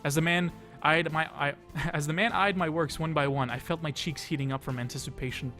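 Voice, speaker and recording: A man's voice: a little high, fairly even intonation, brisk, bright, forceful tone. A man reading a visual novel aloud as a let's play host, dramatizing the story and dropping in casual asides. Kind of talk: expressive read-aloud narration of a visual novel. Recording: music behind the voice. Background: game music.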